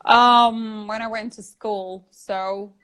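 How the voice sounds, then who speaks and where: A woman's voice: mid-range voice, steady tone, takes it slowly, fairly bright tone, smooth. Chatty Dargin-speaking woman on a video call. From Dagestan, Russia. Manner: chatty, hesitant, frequent fillers and laughs. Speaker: English teacher. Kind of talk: casual video call conversation.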